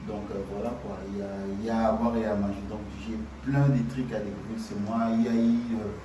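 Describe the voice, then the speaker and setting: A man's voice: on the low side, flat delivery, lo-fi sound. Hesitant man, distant and echoey. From Kinshasa, Congo. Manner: hesitant, halting, many fillers. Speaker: guest musician. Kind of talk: casual interview talk. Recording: distant echoey noisy remote line.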